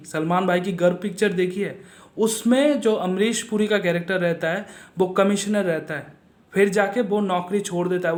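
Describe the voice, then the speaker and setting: A man's voice: high-pitched, reverberant. A man giving a casual spoken film review, blunt and mocking, repeating himself for emphasis.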